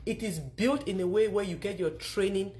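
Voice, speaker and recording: A middle-aged woman with a Cameroonian accent gives a motivational testimonial pitch, speaking emphatically with repeated phrases. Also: moderate pace, a little mellow. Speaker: online marketer telling her own story.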